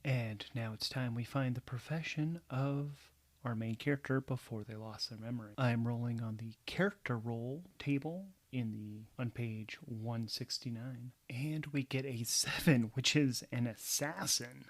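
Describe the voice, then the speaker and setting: A man's voice: mid-range voice, fairly even intonation, measured pace. A man narrating a solo tabletop game as host and game master, in a conversational storytelling manner.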